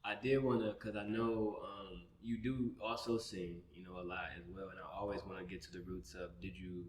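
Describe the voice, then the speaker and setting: Man, deep, low-pitched, podcast host, rambling questions, echoey room. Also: flat delivery, moderate pace, crowd noise. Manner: rambling, restarts questions often. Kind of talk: casual interview questions. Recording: roomy echo.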